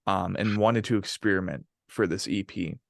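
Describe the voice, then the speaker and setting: A man hosts a podcast with a low, gravelly voice. He is excitable and quick to laugh, swears freely, and calls his guest "bro". The sound is dry, with no room echo. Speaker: podcast host and vocalist. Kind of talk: casual podcast interview.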